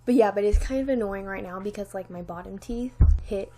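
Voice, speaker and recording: A woman's voice: medium pitch, brisk, dark tone, soft background music. A young woman vlogger chatting casually to camera with a slight lisp from a new retainer, rambling with lots of "like" and restarts. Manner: rambling, many "like"s and restarts, light laughs.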